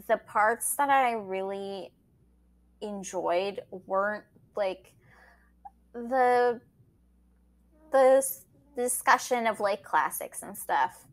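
Slow-talking woman, native Portuguese speaker. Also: medium pitch. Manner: chatty, laughs often, trails into fillers. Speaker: anthology editor, guest. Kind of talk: casual interview chat.

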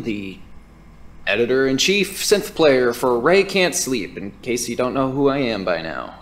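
A man's voice: mid-range voice, close to an everyday voice. A man playing an earnest, self-important keyboardist turned amateur investigator in a scripted audio drama. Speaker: podcast host and synth player.

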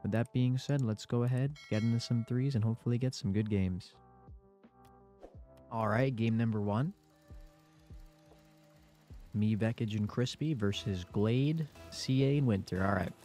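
A man's voice: mid-range voice, measured pace, long pauses, mellow, soft background music. A young man with a congested voice giving casual commentary over his own gameplay in a laid-back play-by-play. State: congested from a cold. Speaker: gaming YouTuber.

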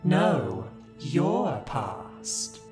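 A man playing a calm, ageless spirit in an audio drama, solemn and measured. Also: mid-range voice. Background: loud music.

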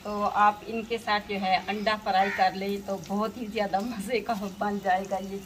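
A woman's voice: medium pitch, fairly even intonation, moderate pace. A young woman from Pakistan talking viewers through her cooking in a casual vlog, explaining each step. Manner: chatty, explains each step to viewers, heavy use of filler phrases. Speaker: village life vlogger. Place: outdoor village courtyard. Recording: outdoor camera audio with clear background noise. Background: outdoor ambience with birds and animals.

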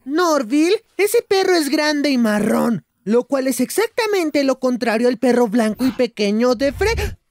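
A high, lively and closely dry voice from an actress playing an anxious young nerd in a cartoon. She sounds defensive, with a Latin American accent. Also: unhurried, no echo, full character voice. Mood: uneasy. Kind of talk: cartoon parody fan dub.

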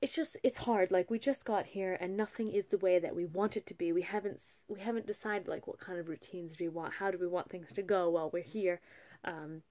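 A woman's voice: fairly low pitch, fairly quick, warm tone. Woman, 30s, chatty, candid, webcam vlog, small room at night. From English-speaking country. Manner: chatty and candid, with frequent restarts. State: emotional after a move. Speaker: vlogger. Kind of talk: casual chat to camera. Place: small wood-paneled room, indoors at night.

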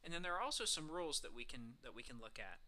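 A man's voice: medium pitch, very animated, fast, pushed and bright. A man narrating a screencast walkthrough, explaining each step in a casual, semi-scripted way. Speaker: software tutorial presenter.

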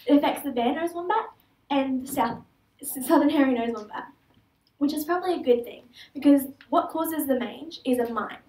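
Aussie teen girl, high voice, echoey hall, mic kinda far off. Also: fairly quick, darkish tone. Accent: Australian. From Australia. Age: teenager. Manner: earnest, with small restarts and slips. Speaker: student speaker. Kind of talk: prepared stage talk. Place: large auditorium stage.

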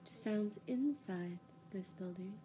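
A woman leads a guided yoga nidra session in a soft-edged, relaxed voice. She speaks calmly and soothingly, leaving unhurried pauses between instructions. A faint rumble sits behind her voice.

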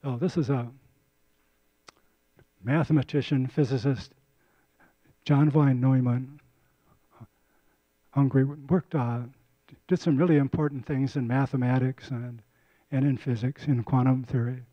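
A man's voice: mid-range voice, steady tone, a little slow, pauses often, dry recording, highs cut off. An older man giving a casual community lecture on a handheld mic, often repeating and restarting words. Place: lecture room.

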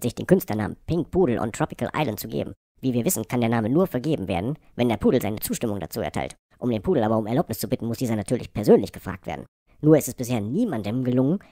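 A man's voice: medium pitch, warm tone, no room sound. Man playing a bossy secret-agency chief, dry recording. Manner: commanding, mock-serious briefing. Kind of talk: satirical cartoon dialogue.

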